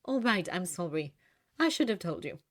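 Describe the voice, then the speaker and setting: A woman's voice: lowish voice, big pitch swings, moderate pace. A woman voicing a reserved, guarded young woman in a storybook reading, speaking in short, terse lines. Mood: wry.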